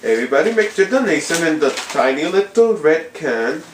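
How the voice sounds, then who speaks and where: A performer playing an earnest, bossy preacher man puppet, declaiming a mock church sermon in a halting, pushy way. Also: mid-range voice, big pitch swings, lightly acted. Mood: earnest announcing. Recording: echoey room with background noise.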